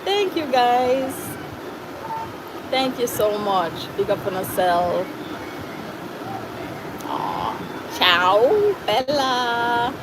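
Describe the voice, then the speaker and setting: A woman's voice: a little high, big pitch swings, very slow, pauses often, background noise. A woman with a Jamaican accent hosting a casual livestream, reading out viewer comments in a chatty way and slipping into Patois phrases. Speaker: livestream host.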